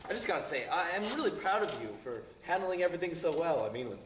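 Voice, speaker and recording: A man's voice: fairly high pitch, big pitch swings, quick pace, background noise. Man playing a falsely supportive friend. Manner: falsely encouraging, chatty. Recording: echoey room.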